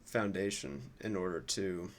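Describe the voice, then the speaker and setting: A man giving informal, off-the-cuff political commentary in a casual, musing way, like a streamer thinking out loud. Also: low-pitched, steady tone, a little slow.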